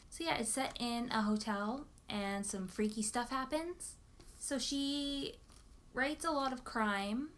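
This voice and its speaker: A woman chatting casually and enthusiastically about books, like a vlogger talking to her viewers. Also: mid-range voice. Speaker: book vlogger.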